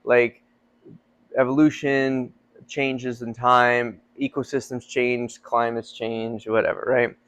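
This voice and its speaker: A man's voice: medium pitch, level tone, slow pace, a bit bright, clear, resonant tone. A young man co-hosting a faith podcast, talking casually and rambling with lots of fillers. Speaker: podcast co-host. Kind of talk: casual chat.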